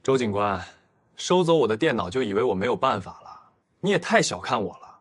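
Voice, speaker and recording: An actor playing a scheming young male student who feigns innocence, politely naive yet knowing, in an animated drama. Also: mid-range voice, brisk, slightly rough. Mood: sly, confident. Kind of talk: Chinese animated audio drama acting.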